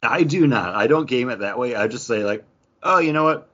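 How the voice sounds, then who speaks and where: A man's voice: medium pitch, expressive, brisk, fairly bright tone, lo-fi sound. A man co-hosting a casual gaming chat, explaining things in detail with plenty of slang. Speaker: podcast co-host.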